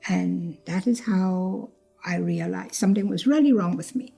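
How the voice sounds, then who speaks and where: An older woman from Trinidad recounts her past in a reflective interview, often repeating herself and voicing the words of others. Her voice is mellow and carries a gravelly fry. Soft music plays faintly behind her. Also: on the low side, moderate pace. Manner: reflective, repeats phrases, acts out quoted speech. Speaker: cancer survivor telling her story. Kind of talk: personal storytelling interview.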